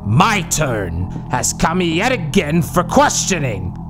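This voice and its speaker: A man's voice: fairly high pitch, wildly swooping pitch, slow, music in the background, full character voice. A man playing a menacing, smug doctor villain in an audio horror drama, pompous and theatrical. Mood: cold, scornful.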